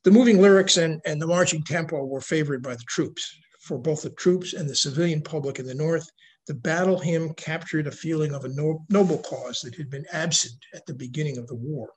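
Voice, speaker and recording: An older man giving a prepared slide lecture on history over a video call, reading in a measured way. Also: fairly high pitch, poor audio. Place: indoor room at home, video call. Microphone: video call microphone.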